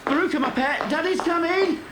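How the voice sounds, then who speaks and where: A man's voice: very high voice, brisk. An actor playing a doting, blustering rich father in a children's audio drama, fretful and fussy. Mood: alarmed. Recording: faint hiss, 1990 cassette dramatisation.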